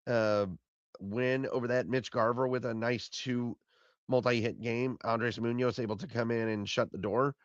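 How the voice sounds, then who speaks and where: Hoarse man, raspy gravelly voice, rambling recap, dry muffled sound. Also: mid-range voice, no echo. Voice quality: raspy, fading voice. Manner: rambling, repeats himself. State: hoarse from being sick. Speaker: podcast host. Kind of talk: solo postgame recap.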